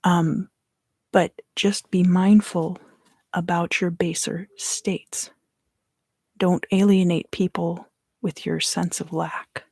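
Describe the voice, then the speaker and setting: A woman in her thirties gives an ASMR tarot reading in hushed, unhurried, deliberate phrases, close to the microphone. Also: fairly low pitch, flat delivery, husky, no echo. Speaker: tarot reader and ASMR host. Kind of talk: ASMR tarot reading, whispered and softly spoken.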